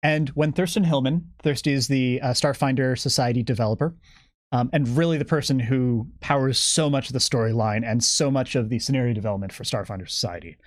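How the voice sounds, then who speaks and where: A man with a mid-pitched voice gives a methodical, detailed explanation as a guest on a live stream. The sound is close and dry, with no room echo. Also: brisk. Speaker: guest game developer giving campaign updates.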